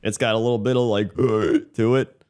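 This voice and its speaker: A congested man with a somewhat low voice rambles through a story, full of "like" and "uh". His sound is a bit Muppet-like and stuffed up from a lingering cold, and he keeps it loose and chatty as a podcast co-host. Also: fairly even intonation, moderate pace, a little mellow. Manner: rambling storytelling with many fillers. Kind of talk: casual chat.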